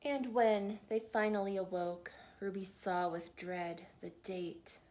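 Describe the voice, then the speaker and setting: A mother reading a rhyming picture book aloud for children, gentle and a little tired, in a steady storytelling cadence. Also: mid-range voice, takes it slowly, a little hiss or hum.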